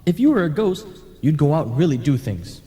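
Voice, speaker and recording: Man playing a sly, mellow ghost in heavy reverb. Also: fairly high pitch, fairly quick. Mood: flattering. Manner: flattering, smooth-talking, insinuating. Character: sly, sardonic male ghost, genially plotting. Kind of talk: radio comedy drama acting. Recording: reverberant analogue tape of 1980s radio play.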